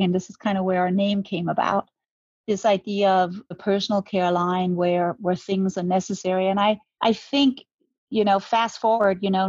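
A woman's voice: mid-range voice, darkish tone, no room sound, highs cut off. Woman, reflective interview guest. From Denmark. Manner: reflective, many restarts and repeated words. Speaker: guest, brand co-founder. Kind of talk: conversational interview.

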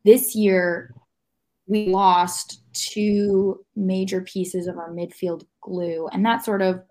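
A woman's voice: medium pitch, little pitch movement, takes it slowly, a little mellow. A woman on a sports podcast panel giving casual, thoughtful analysis in an explanatory way. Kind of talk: casual analytical soccer discussion.

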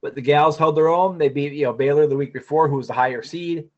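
A man's voice: on the high side, fast talker, a little mellow. A man co-hosting a podcast, chatting through local sports news, scores and schedules. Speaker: podcast co-host. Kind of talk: casual sports news rundown. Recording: dull lo-fi sound.

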